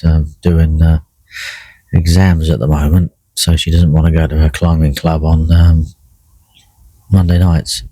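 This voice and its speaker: A middle-aged man with a croaky voice, chatting casually by himself while out fishing, with lots of fillers and restarts. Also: a bass voice, no echo, poor audio. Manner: relaxed, rambling, many fillers and restarts. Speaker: carp angler vlogging a session. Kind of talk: casual solo vlog commentary. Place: in a fishing bivvy by a lake.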